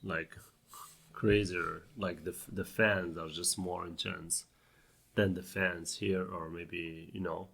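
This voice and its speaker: A man with a Moroccan accent and a deep, husky, creaky voice co-hosts a podcast. He chats casually and haltingly, often feeling around for the right word. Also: little pitch movement, a bit bright. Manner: halting, searching for words. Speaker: podcast co-host. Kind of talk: casual chat.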